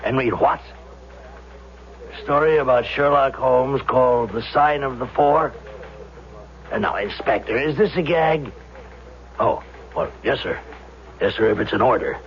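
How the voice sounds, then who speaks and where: A man's voice: medium pitch, a little slow, pauses often, close to an everyday voice. Male actor, homicide detective, harried, incredulous, questioning, radio drama, faintly noisy old recording. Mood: flustered, defensive. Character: harried, skeptical male police homicide lieutenant. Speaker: police detective. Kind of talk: 1970s radio drama acting. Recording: dull vintage radio recording, faint noise.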